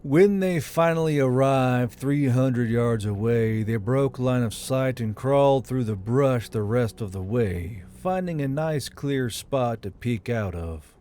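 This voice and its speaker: A man's voice: medium pitch, expressive, measured pace, few pauses, dark tone, a little hiss or hum. A man reading military fantasy fiction as a scripted audiobook narration. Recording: music under the voice.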